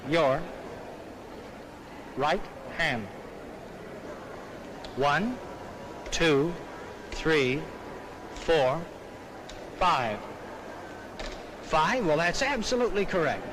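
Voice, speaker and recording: A man's voice: on the high side, animated, extremely slow, long pauses. A man giving showman's patter as a 1930s stage demonstrator, recorded on a noisy old film soundtrack.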